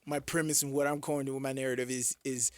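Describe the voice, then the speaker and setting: A man's voice: medium pitch, little pitch movement, quick pace, no echo. A man co-hosting a sports radio show, bantering casually and playfully pushing back as a teasing contrarian. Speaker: sports radio co-host, former pro football player.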